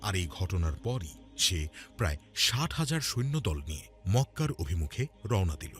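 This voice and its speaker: A man's voice: low-pitched, sing-song, moderate pace. A man narrating a scripted religious cartoon story in a dramatic storytelling style. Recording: music under the voice. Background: background music.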